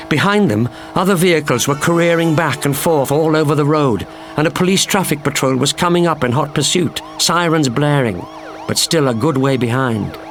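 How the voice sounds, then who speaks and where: A man's voice: on the high side, slight background noise. A man reading a thriller story as a dramatic, scripted audiobook narration.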